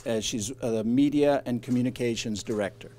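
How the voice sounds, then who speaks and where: A man moderating a news conference, introducing a speaker in a halting, hesitant way. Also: mid-range voice, warm tone.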